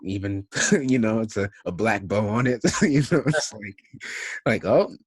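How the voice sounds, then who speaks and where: A young man with a low, deep voice hosts an interview over a video call from a room at home. His speech is dry and close to the webcam mic, loose and reflective, with frequent laughs and many "like"s. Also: unhurried, husky, no echo. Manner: animated, reflective, laughs often, says "like" a lot. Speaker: interviewer, host. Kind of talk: casual video call conversation. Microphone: video call, laptop webcam mic.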